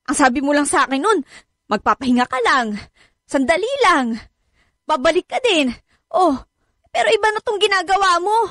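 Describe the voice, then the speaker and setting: High-pitched weary Filipino wife. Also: female voice, expressive, moderate pace, long pauses, a bit bright, dry recording. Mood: pleading, upset. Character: weary, reproachful working wife and mother. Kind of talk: Filipino radio drama acting. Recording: dry studio with music underneath.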